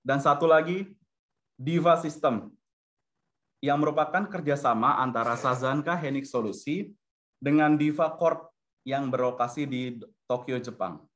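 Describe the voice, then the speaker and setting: A man's voice: medium pitch, a little slow, a bit bright. A man with an Indonesian accent giving a semi-formal corporate product presentation in an explanatory manner, mixing in English business terms. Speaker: webinar presenter for a software company. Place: online video-conference webinar.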